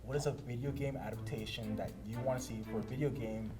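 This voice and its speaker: A man's voice: medium pitch, fairly even intonation, fast. A man hosting a casual panel talk about pop culture, conversational and steering things along with questions. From Canada. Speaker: talk show host. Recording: music playing behind the voice. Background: background music.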